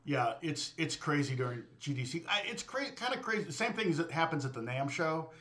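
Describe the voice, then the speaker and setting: A man's voice: a little high, sing-song, bright, forceful tone. A middle-aged man, a guitar teacher, chatting casually and rambling with his live viewers, often stopping and restarting his sentences. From United States. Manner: rambling, self-interrupting, quick to laugh at himself. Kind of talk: casual livestream chat.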